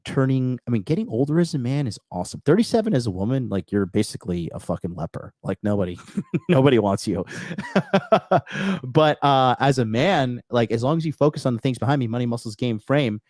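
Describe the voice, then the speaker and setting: A man's voice: medium pitch, big pitch swings, brisk, a little mellow, no echo, studio quality. A man in his thirties hosting a casual livestream chat, talking brashly and profanely, rambling with lots of fillers and restarts. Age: 30s. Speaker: podcast host.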